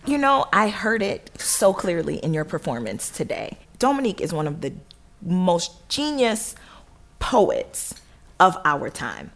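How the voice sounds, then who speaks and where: A woman with a low voice speaks as a director being interviewed. Her talk is reflective and conversational, with emphatic phrasing that checks in with the listener. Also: sing-song, unhurried.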